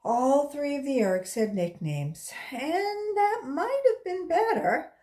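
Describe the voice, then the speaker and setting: Animated, unhurried woman reading a children's story. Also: high voice. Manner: deliberate, expressive phrasing for children. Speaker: volunteer children's story reader. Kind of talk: read-aloud storybook narration with brief asides.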